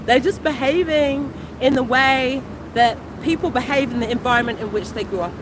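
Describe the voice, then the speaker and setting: High-voiced chatty British woman outdoors. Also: moderate pace. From United Kingdom. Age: 40s. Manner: chatty, exclamatory, warm asides. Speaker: vlogger. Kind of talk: casual livestream chat. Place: outdoors on a city street. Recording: street traffic noise behind. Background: passing traffic.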